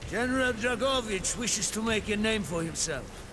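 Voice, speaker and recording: An actor playing a commanding, distrustful Russian army officer with a Russian accent and a forceful, clipped manner. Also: male voice, high-pitched, lively intonation, normal speed. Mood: grim, wary. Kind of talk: dramatic game cutscene acting. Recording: loud rain and vehicle noise.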